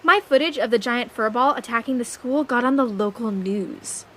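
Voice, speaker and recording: Snobbish young female reporter, acted by a woman, higher voice, haughty and cutting, audio drama. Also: moderate pace, a little hiss or hum, close to an everyday voice. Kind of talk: audio drama character acting.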